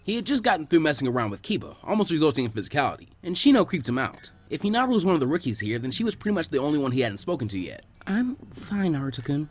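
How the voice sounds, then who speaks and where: A man reading a story aloud as expressive scripted narration. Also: on the high side, racing, few pauses. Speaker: narrator of fan fiction readings.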